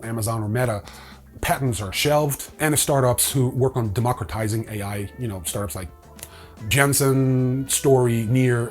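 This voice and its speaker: A man hosting a news rundown in a casual, opinionated way with wry asides. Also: mid-range voice. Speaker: host of a crypto news show.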